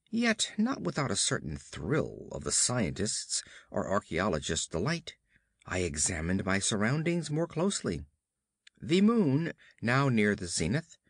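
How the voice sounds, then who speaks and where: A man's voice: mid-range voice, no echo, clean studio sound. A man reading a horror story as an audiobook narrator, with formal, theatrical emphasis and dramatic delivery.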